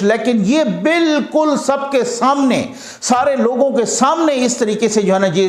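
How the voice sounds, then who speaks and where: A man with a Pakistani accent giving emphatic, conversational political commentary as a journalist, repeating phrases to drive points home. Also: high-pitched. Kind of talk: political commentary straight to audience. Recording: echoey, roomy sound.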